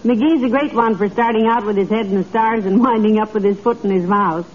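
A woman's voice: medium pitch, fairly quick, close to an everyday voice. Vintage radio comedy housewife played by a woman: fond, wry, folksy wisecracks, slightly noisy muffled sound. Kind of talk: old-time radio comedy acting. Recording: dull vintage radio with faint noise.

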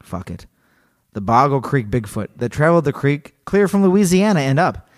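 A man co-hosting a podcast, chatting casually and reading a story aloud, animated and joking. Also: mid-range voice, moderate pace. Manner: animated, joking, laughs often. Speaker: podcast co-host.

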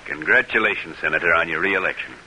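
A crackly, hissing old radio recording carries a man acting a politician's male confidant. His voice is on the low side, and he talks frankly and plainly, as in classic radio drama dialogue. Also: fast talker, lightly acted. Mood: frank, congratulatory.

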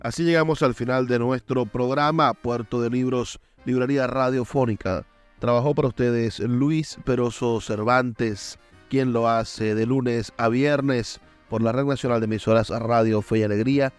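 A man's voice: medium pitch, moderate pace, darkish tone. Male radio presenter, Venezuelan, mid-range voice, affectionate and emphatic announcing over a light music bed. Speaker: radio host. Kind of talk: radio programme sign-off.

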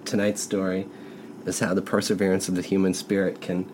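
A man's voice: sits low, little pitch movement, brisk, darkish tone, slight background noise. A man co-hosting a comedy podcast, joking in casual banter and laughing often. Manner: laughs often, self-mocking. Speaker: podcast co-host.

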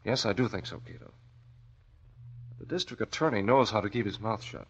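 Resolute masked crimefighter, low husky voice, terse command, old-time radio drama. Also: male voice, lively intonation, fairly quick. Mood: pondering, resolute. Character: masked vigilante man, resolute and shrewd. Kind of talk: 1940s radio acting. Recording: muffled old radio recording.

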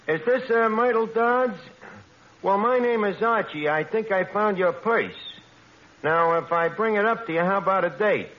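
A man's voice: high-pitched, moderate pace, lightly acted. An actor playing a brash, vain, skirt-chasing working-class man with a New York accent and slangy, mangled speech in a 1940s radio comedy. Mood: smooth-talking. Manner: slangy, malaprop-prone, cocksure. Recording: muffled, hissy old radio broadcast.

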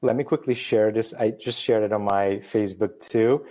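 A man's voice: lowish voice, fairly even intonation, moderate pace, mellow. A man in his thirties from Romania, a photographer chatting casually as a guest, hesitant and self-deprecating with jokes. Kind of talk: casual live-stream conversation.

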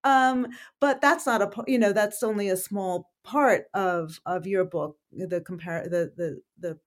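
A woman interviewing an author, asking long questions with many fillers, pauses and false starts. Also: medium pitch.

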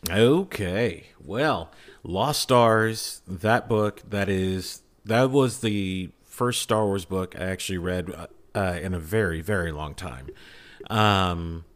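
A man's voice: low voice, slow pace. A man in a casual podcast fan discussion, speaking fervently and emphatically as he urges his points on listeners. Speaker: podcast panelist.

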